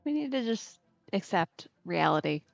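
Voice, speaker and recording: A woman's voice: medium pitch, big pitch swings, moderate pace, gravelly. A woman gaming streamer giving casual, wry, amused commentary as she plays. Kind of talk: casual Let's Play commentary. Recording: slightly dull mic with game music. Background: game music.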